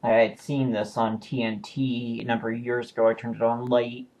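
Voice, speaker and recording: A man talks in an easygoing, unscripted way about his collection of discs. His voice sits at an ordinary middle pitch. He pauses often and corrects himself mid-sentence. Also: flat delivery, darkish tone. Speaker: video collector showing new purchases. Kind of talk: casual home video review.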